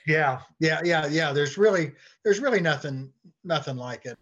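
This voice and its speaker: An American man chatting casually as an interview guest, telling anecdotes in a halting way and often repeating words. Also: on the high side, expressive, a bit bright. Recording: dull, lo-fi call-like audio.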